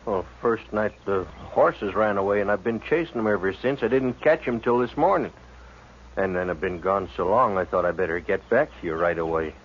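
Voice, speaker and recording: A man's voice: fairly low pitch, lively intonation, normal speed, natural voice. An actor playing a shifty, defensive frontier man with an American accent in a 1950s radio western, brusque and evasive. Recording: muffled, noisy 1950s radio recording.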